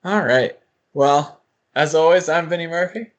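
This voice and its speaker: A man's voice: a little high, unhurried. A man hosting a podcast in a casual, conversational chat style. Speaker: podcast host.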